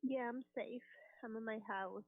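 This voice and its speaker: A woman, calling a helpline and talking in a hesitant, halting, emotional way. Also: a little high, steady tone. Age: 30s. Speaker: caller. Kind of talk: phone call. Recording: tinny phone-line recording.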